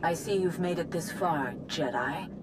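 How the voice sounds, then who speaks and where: A woman acting a cool fallen Jedi in a video game. Her voice is very low for a woman, with clear noise behind it. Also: a little slow, lightly acted. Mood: cool disdain.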